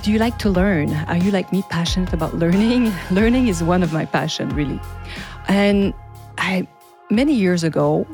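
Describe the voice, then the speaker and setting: French lady podcast host, lowish voice, chatty and laughy, faint music behind. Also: moderate pace, a little mellow. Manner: chatty, laughs often, restarts sentences. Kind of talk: casual solo podcast talk.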